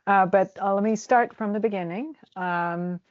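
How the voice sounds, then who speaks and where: A woman in her forties from Croatia with a Croatian accent, answering interview questions in a halting way with many fillers and restarts. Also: mid-range voice, no echo, muffled recording. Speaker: travel agent. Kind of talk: casual interview.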